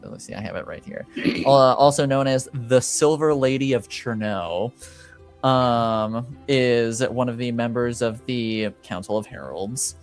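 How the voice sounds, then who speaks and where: Slow, halting man running a tabletop game. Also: medium pitch, clear, resonant tone. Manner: halting, deliberate, pauses mid-sentence. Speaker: game master of a tabletop roleplaying game. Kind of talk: improvised tabletop game narration and casual chat.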